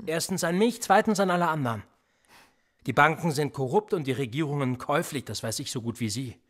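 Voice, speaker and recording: An actor playing a sardonic, quietly menacing police interrogator in a radio play, speaking in a sarcastic, probing way. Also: male voice, fairly high pitch, animated, fairly quick. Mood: needling, threatening. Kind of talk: German radio play acting.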